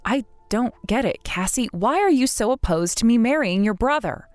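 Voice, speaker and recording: A woman in her thirties voicing a patient, polite bride-to-be who speaks in a soft, measured, restrained way. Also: fairly high pitch, lively intonation, dry recording, soft background music, close to an everyday voice. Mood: hurt. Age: 30s.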